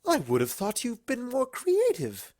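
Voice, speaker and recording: A woman's voice: on the high side, huge pitch swings, unhurried, darkish tone, voice pushed up, heavily acted. A woman voicing a refined female cartoon unicorn pony with a dramatic, disapproving manner. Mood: disappointed.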